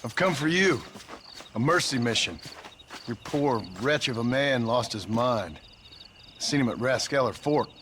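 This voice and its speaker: An actor voicing a weary, sardonic cowboy gunslinger in a video game, with a dry manner. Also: male voice, medium pitch, lively intonation, unhurried, pauses often, a bit bright, slight background noise. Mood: matter-of-fact.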